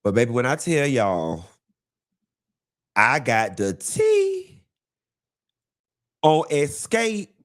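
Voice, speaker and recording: A congested man hosting a live gossip show, talking in a sassy, campy drawl, calling listeners honey and baby and swooping up high for emphasis. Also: huge pitch swings, extremely slow, pauses often. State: congested from a cold. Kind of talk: casual campy gossip monologue.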